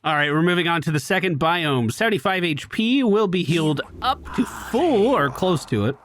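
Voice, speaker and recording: A man's voice: on the high side, animated, moderate pace, fairly bright tone, slight background noise. A man giving casual gameplay commentary as host of a gaming video. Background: video game sounds.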